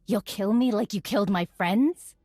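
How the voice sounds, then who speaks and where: A woman's voice: mid-range voice, normal speed, darkish tone, no room sound, close to an everyday voice. A female actor voices an anguished, righteous Jedi in a sci-fi game. Her mid-range voice is charged with angry defiance. The sound is dry and close. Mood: angry accusing. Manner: accusing, emotionally intense. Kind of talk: video game cutscene acting.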